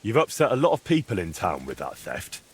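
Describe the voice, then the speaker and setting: An actor playing a sensible, helpful adventurer in a video game, speaking in a frank, questioning and wry manner. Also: male voice, lowish voice, wildly swooping pitch, brisk, slightly rough, exaggerated voice. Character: sensible, helpful young male adventurer with dry wit. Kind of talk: video game dialogue acting.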